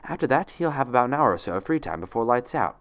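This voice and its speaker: A man voicing an older female unicorn nurse in a story, brisk, matter-of-fact and cheerful. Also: medium pitch, big pitch swings, pitched above the performer's own voice.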